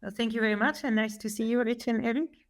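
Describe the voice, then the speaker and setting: A woman with a Belgian accent, speaking as a podcast guest in a composed, measured business manner. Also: on the high side. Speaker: podcast guest, company executive.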